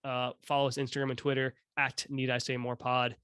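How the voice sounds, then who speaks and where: A man's voice: medium pitch, completely flat delivery, fairly quick, a bit bright, clear, resonant tone, no room sound, clean studio sound. A man around thirty hosting a podcast, talking casually in a rambling, joking and self-deprecating way, cursing now and then. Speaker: podcast host.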